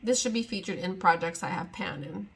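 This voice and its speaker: A woman's voice: fairly low pitch, expressive, fairly quick, vocal fry, slight background noise. A young woman chatting casually to camera at home, rambling and exasperated as she talks through her makeup. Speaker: beauty vlogger. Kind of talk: casual sit-down chat to camera.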